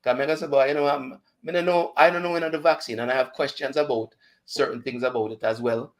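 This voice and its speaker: A man's voice: medium pitch, fairly even intonation, fast. A man with a Jamaican accent hosting a chat show, talking casually, slipping into patois and laughing often. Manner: chatty, mixes patois into speech, laughs often. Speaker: radio show host.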